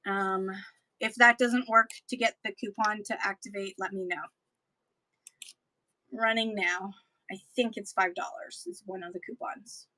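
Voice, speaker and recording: A woman selling books in a chatty live-stream auction, her voice getting hoarse, with playful sing-song asides. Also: medium pitch, steady tone, measured pace, bright, forceful tone, no room sound, rough recording.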